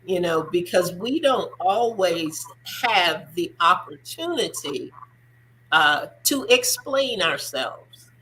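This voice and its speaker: A woman's voice: fairly low pitch, animated, slow pace, bright, forceful tone, slight background noise, poor audio. A woman hosting a faith-based discussion show, speaking earnestly and repeating her points for emphasis. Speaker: talk show host.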